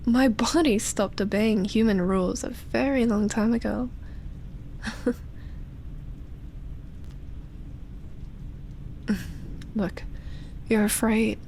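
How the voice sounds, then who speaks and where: Girl playing a lonely old vampire lady, asmr roleplay, super slow breathy whispery teasing voice, bit of background noise. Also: fairly high pitch, darkish tone. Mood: gentle, amused. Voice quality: breathy, often dropping into a whisper. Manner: slow, drawn-out, teasing, with lingering pauses.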